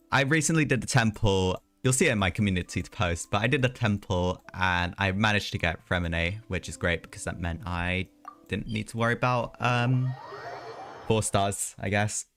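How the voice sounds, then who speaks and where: A man's voice: fairly low pitch, moderate pace, fairly bright tone, faint music behind. A man live streaming a video game, chatting casually in a rambling way with hesitant asides.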